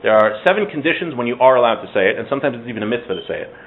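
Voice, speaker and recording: Fast-talking man teaching, thin phone-like sound. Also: mid-range voice, animated. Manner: conversational, explaining with everyday examples. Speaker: religious teacher giving a Torah class. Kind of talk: informal religious lecture with Hebrew source readings translated. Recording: muffled phone-like audio with faint background noise.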